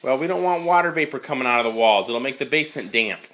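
A father filming his young son in a basement, chatting playfully and asking gentle questions. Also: medium pitch, animated, fast, crowd noise. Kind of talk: casual home-video chat with a child. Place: concrete-block home basement, indoors. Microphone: handheld camera held by the speaker.

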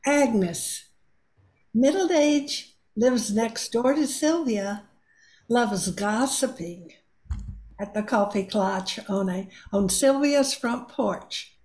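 A woman's voice: fairly high pitch, slow, a little mellow, rough recording. A woman reading a scripted character introduction for an amateur radio play.

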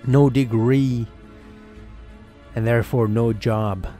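A man playing an excitable, earnest young schoolboy cricketer who tells his story conversationally, with emphatic pauses, in a dramatised game story. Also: mid-range voice, soft background music, close to an everyday voice. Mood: earnest, reflective. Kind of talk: first-person dramatised narration over game cutscenes.

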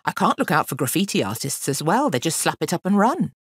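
Lady doing a cheeky little lad from a kids book, glib n breezy, lively up n down pitch, dry sound. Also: fast talker, husky, dry recording, heavily acted. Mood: feigned innocence. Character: cheeky, innocent-sounding scheming young boy elephant handler. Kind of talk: children's storybook character voice.

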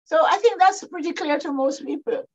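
An older Jamaican woman speaking as a panelist over a video call from her home study, deliberate and explanatory. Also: very high voice. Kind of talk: measured panel talk. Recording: dull lo-fi video call audio.

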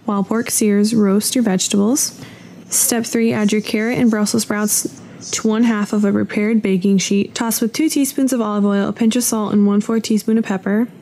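A young woman in a home kitchen reading recipe steps aloud in a steady, matter-of-fact way. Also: mid-range voice, normal speed, slight background noise. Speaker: home cook.

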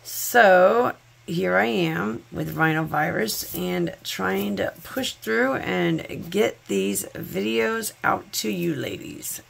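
Gravelly, slow-talking woman vlogger with a cold. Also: mid-range voice. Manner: effusive, thankful, chatty. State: congested from a cold. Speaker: nail channel host. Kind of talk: sponsored unboxing vlog. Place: home nail studio, table top.

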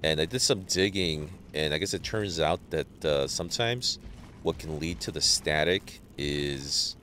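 A man streaming a game live, chatting casually with viewers and greeting them by name, sounding a little stuffy from allergies, on a boom mic. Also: a little slow, slight background noise. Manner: greets chat viewers, relaxed banter. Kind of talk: casual live gameplay chat.

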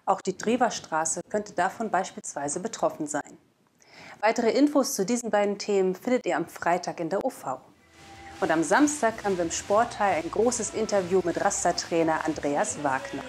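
A woman's voice: medium pitch, expressive, normal speed. Young woman presenting scripted news. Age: young adult. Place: indoor studio. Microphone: lapel mic.

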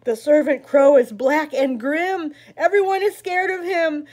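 A middle-aged woman reading a picture book aloud for children in an expressive, dramatic, chanting way. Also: high voice, a little slow. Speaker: library story time host.

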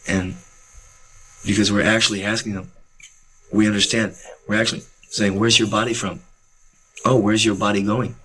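A young man speaking earnestly and calmly about selling books to travelers, with faint hiss from old film audio. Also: lowish voice, breathy edge, rough recording. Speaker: book distributor. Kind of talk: reflective commentary over footage.

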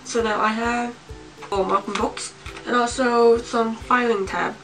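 A young woman of about eighteen with a slight cold, talking casually and haltingly to camera as she shows things in a room at home. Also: a little high, fairly even intonation. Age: young adult, about 18. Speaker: vlogger. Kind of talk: casual show-and-tell to camera. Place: small home room, indoors. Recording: distant mic, music behind.

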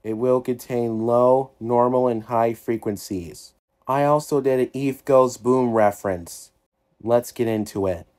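A man's voice: medium pitch, unhurried, darkish tone. A man talks to his viewers as an amateur voice performer. He gives plain intros and sign-offs for a homemade video.

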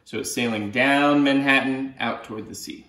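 A man's voice: medium pitch, normal speed, sharp tone. A middle-aged man, a children's author, reading a picture book aloud expressively, with explanatory asides, in a living room. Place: small living room, indoors on a sofa. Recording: roomy living-room echo.

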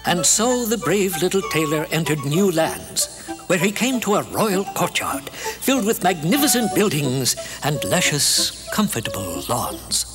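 An actor narrating a cartoon fairy tale in a measured, descriptive storytelling style, with music behind. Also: medium pitch, big pitch swings.

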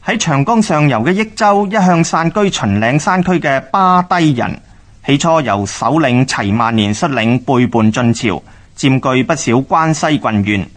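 A man's voice: mid-range voice, animated, unhurried. A man with a Hong Kong accent narrating history from a script in a formal, expository manner.

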